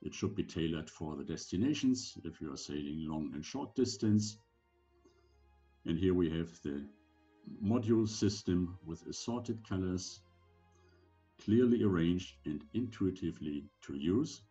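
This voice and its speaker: Male, around 70, deep and mellow. Instructional presenter, deliberate phrasing. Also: expressive, lo-fi sound, faint music behind. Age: older, around 70. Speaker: presenter giving medical advice for sailors. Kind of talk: slide presentation lecture.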